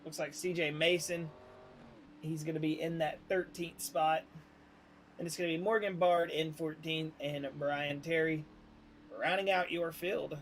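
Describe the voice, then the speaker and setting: A man's voice: a little high, steady tone, measured pace, a bit bright, slight background noise, rough recording. A man announcing a sim race, steadily reading out the starting grid positions.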